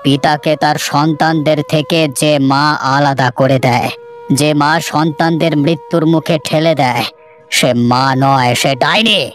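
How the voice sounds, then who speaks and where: Man as embittered father, fairly high male voice, harsh, accusing, cartoon melodrama, music behind. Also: fairly even intonation, a little slow, a bit bright. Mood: scornful anger. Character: bitter, scornful father blaming his wife. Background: background music.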